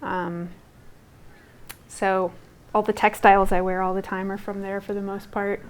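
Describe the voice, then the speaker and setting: A woman's voice: mid-range voice, little pitch movement, a little slow, slight background noise. A young woman textile artist from Guatemala whose first language was Spanish, speaking casually in an interview, with lots of fillers and restarts. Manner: rambling, many fillers and restarts.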